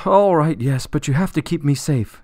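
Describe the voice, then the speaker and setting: An actor playing a desperate, disgraced politician in prison in a radio drama, pleading and flustered. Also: male voice, fairly high pitch, animated, a little slow, darkish tone, no echo, clean studio sound. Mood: panicked, pleading.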